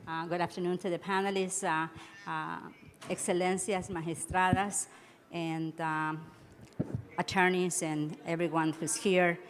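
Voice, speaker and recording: A woman from Ecuador, a judge, giving a conference talk in a halting manner with many restarts. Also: lowish voice, flat delivery, slight background noise. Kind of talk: conference speech, switching between English and Spanish. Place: large conference hall. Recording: reverberant conference hall.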